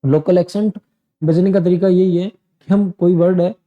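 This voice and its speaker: A man's voice: high-pitched, fairly even intonation, normal speed, highs cut off. A man giving a casual spoken coaching lesson, chatty and repeating his points with loose restarts. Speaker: PTE and IELTS coaching teacher. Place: indoor teaching room.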